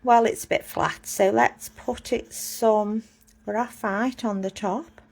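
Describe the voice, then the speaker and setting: A woman's voice: medium pitch, steady tone, slow, gravelly, darkish tone. A woman giving a casual live drawing lesson, chatty and self-mocking as she talks through each step while drawing. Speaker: drawing teacher.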